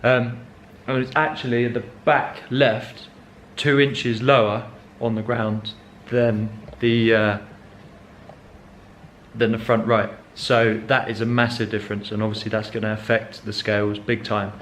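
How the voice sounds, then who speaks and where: A man's voice: fairly low pitch, little pitch movement, measured pace, a bit bright, slight background noise. A young man chatting casually in a car vlog, rambling with many ums and correcting himself as he goes. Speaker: car vlogger.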